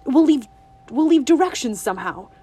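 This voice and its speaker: Woman voicing a young country boy, rustic drawl, hushed urgency, audiobook. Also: sits high, animated, moderate pace, voice pushed up. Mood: pleading. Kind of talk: audiobook reading.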